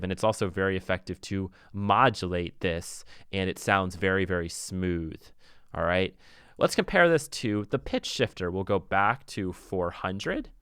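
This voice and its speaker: A man narrating a casual music software tutorial as an instructor, explaining things conversationally and thinking aloud as he goes. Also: on the low side, clean tone, no echo, clean studio sound.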